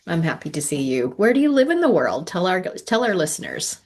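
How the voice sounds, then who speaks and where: Lady hosting a podcast, lively swooping voice, lowish pitch. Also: a little fast. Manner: warm, gently encouraging, pitch rises on greetings. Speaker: podcast host. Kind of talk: podcast interview.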